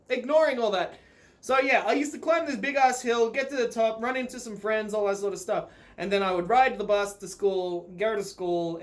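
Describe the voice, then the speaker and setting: High-voiced Australian man telling a story. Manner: rambling, informal, sweary. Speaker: vlogger. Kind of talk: casual storytelling vlog. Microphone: phone.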